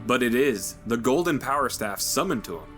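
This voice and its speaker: Man as a veteran ranger, steady, direct, mid voice, faint music. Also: medium pitch, brisk, natural voice. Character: seasoned male ranger leader, steady and direct.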